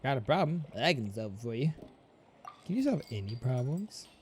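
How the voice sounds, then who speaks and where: A woman's voice: a bass voice, sing-song, normal speed, darkish tone, slight background noise. A woman giving casual live game commentary as a streamer, playful and slangy as she talks to her chat.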